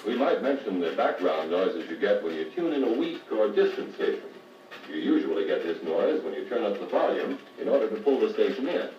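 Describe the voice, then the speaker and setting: Echoey, noisy 1950s American instructor. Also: male voice, on the low side, very animated, brisk. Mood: patient, instructive. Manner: methodical, explanatory. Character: patient expert car radio service instructor, adult man. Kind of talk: 1950s training filmstrip dramatized dialogue. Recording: muffled, noisy, echoey 1950 record playback, distant mic.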